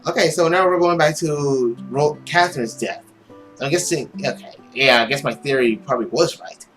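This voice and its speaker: A man doing casual gaming commentary and reading a visual novel story aloud, with expressive, emphatic reactions. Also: mid-range voice, moderate pace. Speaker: let's player narrating a visual novel. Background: guitar music.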